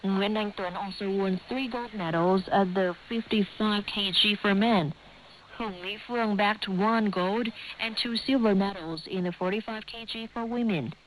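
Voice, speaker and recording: A woman's voice: medium pitch, a bit bright, slight background noise, low-quality recording. A woman reads scripted news as a radio presenter over a shortwave broadcast.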